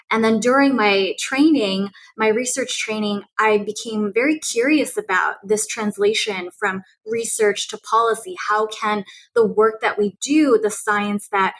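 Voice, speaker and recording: A woman's voice: mid-range voice, rough recording. Earnest woman researcher, Cantonese native. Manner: earnest and steady, with frequent ums and restarts. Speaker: guest researcher. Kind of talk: interview answer, storytelling.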